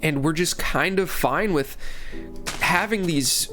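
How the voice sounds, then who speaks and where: A man's voice: fairly high pitch, slow pace, pushed and bright, soft background music. A young man in his twenties, congested from a cold, talking casually over a game and rambling with many restarts. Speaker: gaming channel host. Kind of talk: casual commentary while playing.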